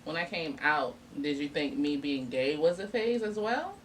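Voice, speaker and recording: A woman's voice: low-pitched, animated, moderate pace. Young woman, podcast host, casual and slangy, far from mic, faint hiss. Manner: casual, direct questions, slangy. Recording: distant mic with faint noise.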